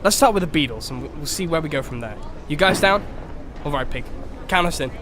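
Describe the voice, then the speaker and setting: An actor playing an eager, friendly young horse who leads an animal band in an audio story, speaking earnestly and encouragingly. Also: male voice, on the high side, expressive, fairly quick, very noisy, lightly acted. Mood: relaxed, encouraging.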